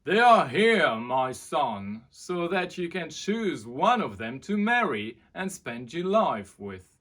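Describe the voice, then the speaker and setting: Man acting a booming, hearty storybook king. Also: sing-song, takes it slowly, fairly bright tone, full character voice. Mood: proud, delighted. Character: proud, hearty royal father, a storybook king.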